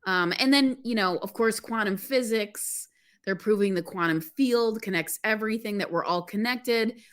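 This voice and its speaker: A woman's voice: mid-range voice, normal speed, fairly bright tone. A woman who is a guest on a podcast, talking enthusiastically and laughing as she tells her story. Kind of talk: casual podcast interview.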